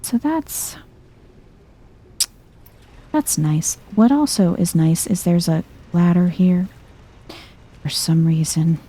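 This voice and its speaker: Very soft, dark-voiced woman, hushed and breathy. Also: lowish voice. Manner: hushed, unhurried, musing to self, often slipping into whisper. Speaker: video game streamer, let's player. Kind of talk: soft-spoken ASMR gameplay commentary.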